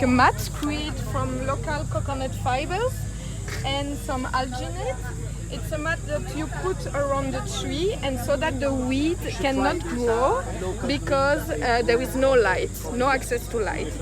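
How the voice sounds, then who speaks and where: A woman from Belgium explaining a design project she worked on, pausing often with fillers and restarts. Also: high voice, poor audio. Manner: halting, many fillers and restarts. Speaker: design challenge participant.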